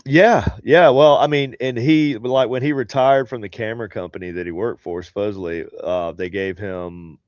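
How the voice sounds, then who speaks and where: A man's voice: fairly low pitch, steady tone, normal speed, few pauses, darkish tone, dry recording, dull audio. A man with a head cold and a nasal voice, co-hosting a paranormal talk show in casual chat, rambling with many fillers. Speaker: podcast co-host. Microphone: home desk setup on a video call.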